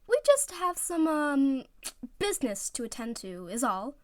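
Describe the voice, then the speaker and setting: A woman's voice: high voice, big pitch swings, a little slow, dry recording, studio quality, voice pushed up. A woman voicing a whiny, dramatic and playfully scheming teenage boy team captain in a story reading. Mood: nervous.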